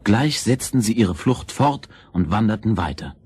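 A man narrating a scripted children's fairy tale as storyteller, in a measured, expressive way. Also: on the low side, music in the background.

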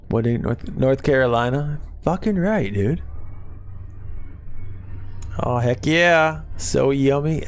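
A man from Arkansas in his thirties with a light Southern accent, streaming a game from a home gaming room and chatting loosely with viewers, swearing often. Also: fairly high pitch. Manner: loose, profane, laid-back banter. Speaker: Twitch streamer. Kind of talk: casual live-stream chat with chat.

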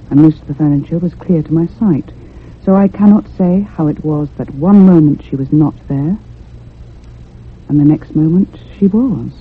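A woman playing a troubled, earnest heroine in a radio horror drama, with intense, literary delivery. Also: deep voice. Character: troubled, earnest woman haunted by a child ghost. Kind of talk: first-person dramatic radio horror narration. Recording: muffled, phone-like old radio recording.

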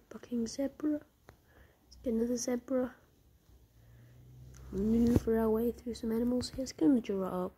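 Croaky woman mumbling half-whispered game commentary, low mellow tone, chatty and self-mocking. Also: a little hiss or hum. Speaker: gameplay commentator. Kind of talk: casual mobile game commentary.

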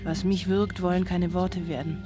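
An actress playing a guilt-ridden single mother in a radio drama, speaking a poetic, deliberate inner monologue. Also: on the low side, little pitch movement, close to an everyday voice. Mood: brooding, bitter. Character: guilt-ridden single mother searching for her son at night. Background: music.

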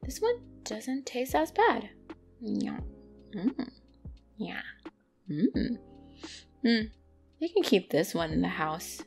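Woman acting a bratty tsundere catgirl, very expressive. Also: mid-range voice, slow, vocal fry, pauses often, faint music behind, full character voice. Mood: grumbling, curious. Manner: indignant, defensive, stammering when flustered. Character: bratty, flustered young female tsundere catgirl. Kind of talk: ASMR roleplay acting addressed to the listener.

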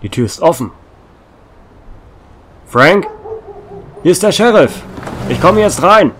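An actor playing a gruff, rattled small-town sheriff in a German horror radio play, commanding and blunt. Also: male voice, fairly high pitch, expressive, slow pace, a bit bright, slight background noise. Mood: uneasy, disgusted. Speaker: actor in an audio drama.

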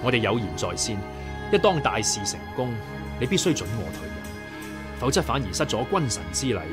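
An earnest young chief of a sworn brotherhood in a martial-arts radio play, acted by a man. His mid-pitched voice is formal, resolute and widely inflected, set over music. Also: big pitch swings, brisk, lightly acted. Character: earnest, courteous young leader of a rebel brotherhood. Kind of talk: Cantonese radio drama acting. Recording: background music under the voice.

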